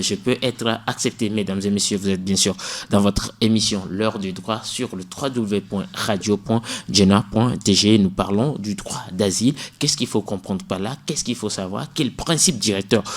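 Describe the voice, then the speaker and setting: A man from Togo with a Togolese French accent, hosting a radio talk show and putting questions to a legal expert. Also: on the low side. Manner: probing, challenges the guest with everyday examples.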